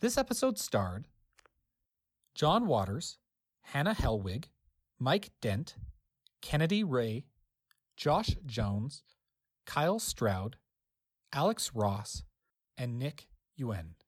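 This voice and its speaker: A man's voice: mid-range voice, very animated, slow pace, pauses often, husky, no echo. A man presenting a scripted, friendly outro with credits for an audio drama. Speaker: presenter reading the closing credits.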